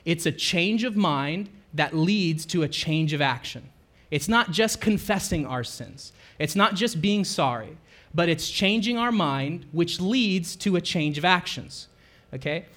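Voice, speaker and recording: Male pastor, slightly high voice, conversational sermon delivery with self-corrections and questions to the congregation, church PA mic. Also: lively intonation, a little slow, a bit bright. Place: church sanctuary.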